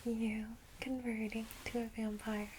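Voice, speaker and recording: Gentle female vampire guide, acted by a woman: soft ASMR whisper, lightish pitch, soothing and unhurried, audible background noise. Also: level tone, lightly acted, poor audio. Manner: reassuring, unhurried, hushed. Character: gentle, welcoming female vampire guiding a newcomer.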